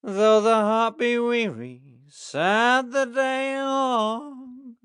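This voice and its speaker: A man's voice: very high voice, very slow, fairly bright tone, clear, resonant tone, dry recording, clean studio sound, voice pushed up, full character voice. Man doing a reedy, tipsy old Yorkshire farmer. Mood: raucous singing. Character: tiny old Yorkshire farmer, tipsy and curt, singing raucously.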